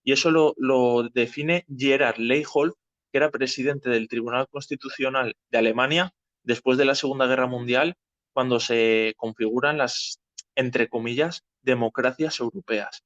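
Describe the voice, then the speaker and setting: A man's voice: medium pitch, steady tone, moderate pace, a bit bright, dry recording. Spanish guy from spain hosting a political chat, explains stuff casually, bit lo-fi and dry. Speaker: host of a political discussion. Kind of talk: informal explanatory political talk.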